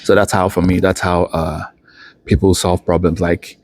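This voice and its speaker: A man's voice: sits low, level tone, moderate pace, darkish tone. A man chatting casually as an unscripted interviewer, a fellow student who talks in anecdotes and acts out little quoted asides. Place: indoors at a university building.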